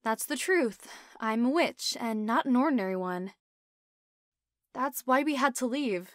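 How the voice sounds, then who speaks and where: A woman's voice: a little high, measured pace, a bit bright, dry recording, studio quality. A woman voicing a young witch who returns to her friends, speaking earnestly and faltering when emotional. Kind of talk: amateur roleplay voice acting.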